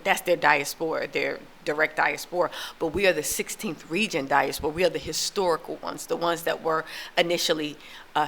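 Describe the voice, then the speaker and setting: A woman's voice: low-pitched, gravelly, a bit bright. An American woman living abroad, talking casually to her viewers in a rambling opinion monologue full of fillers and restarts. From United States. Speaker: relocation vlogger. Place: living in Tanzania.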